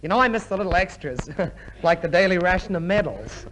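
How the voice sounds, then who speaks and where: A wry army private in 1950s radio comedy style. He grumbles in a high voice. The old recording is plainly noisy. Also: lively intonation, fairly quick.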